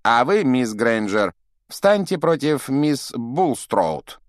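A man voicing a cold, menacingly polite potions master in an audiobook, with deliberate, disdainful delivery. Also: mid-range voice, big pitch swings, no echo, clean studio sound, voice pushed up. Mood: cold sneering. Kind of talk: audiobook character acting.

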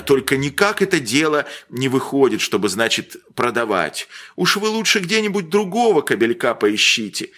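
A man voicing a poor old organ grinder who speaks slowly with quiet dignity. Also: sing-song. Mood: dignified. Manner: slow, dignified, low mutter. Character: poor old male organ grinder, humble but proud.

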